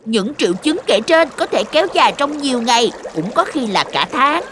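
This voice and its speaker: A woman's voice: a little high, moderate pace, background noise. A woman voicing a knowledgeable, helpful young friend who explains things in a children's cartoon. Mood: instructive. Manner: instructive, expressive.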